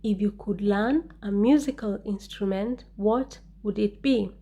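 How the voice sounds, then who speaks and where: A woman gives an English exam-prep lesson at an unhurried, deliberate pace. Her voice is soft and mellow, and she repeats each sample answer with care. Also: mid-range voice. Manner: slow, careful, repeats model answers. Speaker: English teacher.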